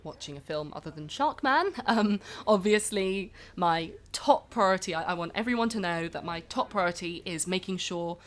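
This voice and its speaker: A self-important film actress, played by a woman with a slightly low voice. She speaks haltingly and formally, like someone managed by publicists, in a mock interview for a comedy podcast. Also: lively intonation, close to an everyday voice. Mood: stiffly apologetic. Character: self-important, overworked young film actress making a hollow apology. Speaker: film star being interviewed.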